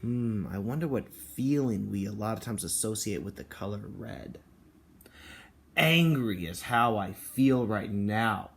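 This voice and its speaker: A man speaking as a kindergarten teacher, reading and explaining to young children in an expressive, encouraging way with simple phrasing. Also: on the low side, unhurried, creaky. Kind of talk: picture book read-aloud and talk to children and parents.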